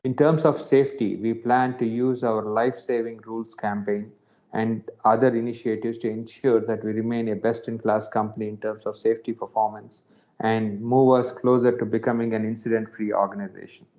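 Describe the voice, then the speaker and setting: A man in a senior company role reading scripted business remarks in a formal, measured way. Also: lowish voice, little pitch movement, mellow. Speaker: senior company executive giving closing remarks. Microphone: conference call line. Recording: dull, lo-fi conference call audio.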